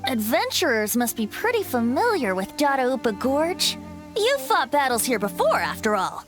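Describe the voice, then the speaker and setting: A boyish young bard in a video game. The high voice swings widely in pitch, breezy and theatrical, with a playful lilt. Soft music sits underneath. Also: female voice, wildly swooping pitch, normal speed. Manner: playful, grandiloquent, lilting.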